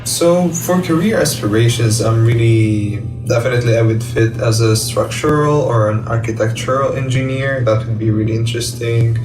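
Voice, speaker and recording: A man's voice: medium pitch, normal speed, few pauses. A man, giving an informal personal testimonial as a university student. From Jordan. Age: early 20s. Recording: roomy, distant mic, background music.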